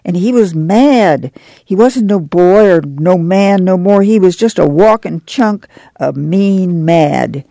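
Woman doing a worried farm ma, homespun, creaky dark voice, confiding, audiobook. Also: on the low side, normal speed, no room sound, clean studio sound, lightly acted. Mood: earnest, pained. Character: earnest, protective farm mother, middle-aged. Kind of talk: audiobook character acting.

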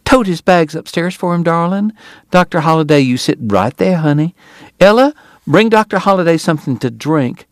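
Man voicing a gushing Southern lady, pitched high. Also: lively intonation, moderate pace, no echo, studio quality. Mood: bossy, fussing. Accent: American South, Georgia. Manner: gushing, fussing, chatty. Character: effusive, bossy, motherly Southern doctor's wife. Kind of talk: audiobook character voice.

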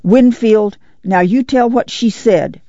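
A woman's voice: medium pitch, takes it slowly, dark tone, no echo, dull audio, pitched above the performer's own voice. A woman playing a worried, commanding farm mother. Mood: alarmed. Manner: rural folksy dialect, blunt orders.

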